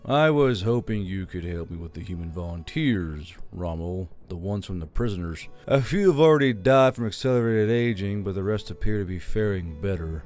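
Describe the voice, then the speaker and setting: A man's voice: sits low, lively intonation, moderate pace, few pauses, darkish tone, faint music behind. A man voicing an exasperated, grumbling military commander in an audiobook, dry and scolding. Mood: businesslike. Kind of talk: audiobook character voice.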